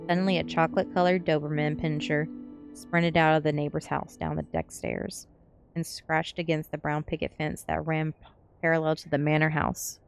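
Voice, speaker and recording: Female story reader for an ASMR stream, low register, faint music underneath. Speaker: streamer reading a novel aloud.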